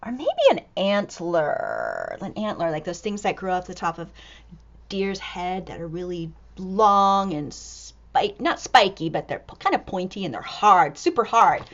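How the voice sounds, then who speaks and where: A woman reading a picture book aloud to young children in an expressive, exclaiming way, drawing out words and dropping into hushed asides. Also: on the low side, measured pace, muffled recording.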